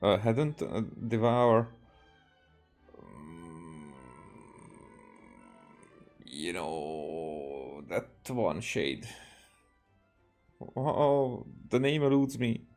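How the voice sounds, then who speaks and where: Slow, halting man commenting on a game. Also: sits low, animated, a little mellow. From Hungary. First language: Hungarian. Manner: hesitant, halting, thinking aloud. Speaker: game streamer. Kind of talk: casual gameplay commentary. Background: game music.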